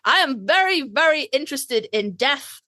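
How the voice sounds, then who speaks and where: A woman's voice: sits high, animated, moderate pace, bright, forceful tone, no echo, studio quality. A woman hosting a podcast in casual, conversational chat. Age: adult, parent. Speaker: podcast host.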